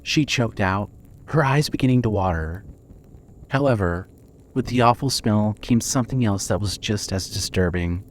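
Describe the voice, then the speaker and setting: A man's voice: on the low side, moderate pace, slight background noise. A man narrating a scripted dramatic story for a fiction podcast.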